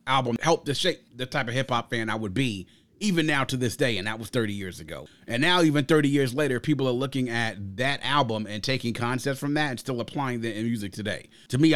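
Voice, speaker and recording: A man's voice: mid-range voice, a bit bright. A man with a mid-range voice hosts a music discussion. He talks reflectively and conversationally, laying out his opinions in long, explanatory stretches. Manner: reflective, explains through extended analogies. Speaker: host of an album review discussion.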